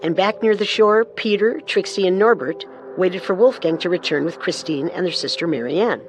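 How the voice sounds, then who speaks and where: A woman co-narrating a scripted true-crime story with measured, dramatic delivery. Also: on the low side, faint music behind, low-quality recording.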